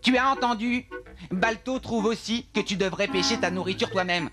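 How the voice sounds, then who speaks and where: A man's voice: high-pitched, normal speed, lightly acted. A man voicing a grumpy, proud fishing sea lion in a French cartoon dub, with music underneath. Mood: indignant. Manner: grumbling, indignant.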